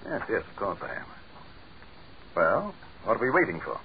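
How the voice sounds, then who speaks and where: A deep-voiced sardonic gentleman. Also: wildly swooping pitch, moderate pace. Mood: wry. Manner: dry, sardonic and impatient. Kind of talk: 1940s radio acting. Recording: muffled old radio broadcast with faint hiss.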